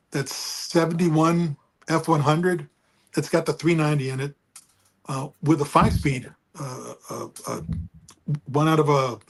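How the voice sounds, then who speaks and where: A man with a booming voice chatting casually and rambling about engines over a remote call. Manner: rambling, folksy, restarts often. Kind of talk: casual car chat. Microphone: remote call with speaker feedback.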